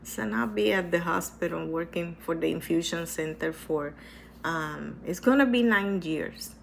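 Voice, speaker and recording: A woman talking slowly in a low, gravelly voice, a little stuffy from a cold. She speaks earnestly and reflectively, restarting and repeating her words, with faint room hum behind her. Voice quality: hesitant, with many restarts and repetitions. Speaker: vlogger sharing her cancer experience. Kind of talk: casual personal talk to camera.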